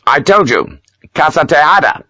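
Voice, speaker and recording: A man voicing a gruff, pious older army major who talks in curt, self-important bursts. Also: on the high side, very animated, unhurried, bright, forceful tone, no room sound, voice pushed up, full character voice. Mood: lecturing. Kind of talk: audiobook character voice.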